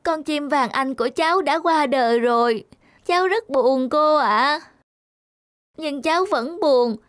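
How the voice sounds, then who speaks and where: A woman's voice: sits high, unhurried, dry recording, studio quality. A woman voicing a curious, sensitive little boy in a cartoon, earnest and full of questions. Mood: sad.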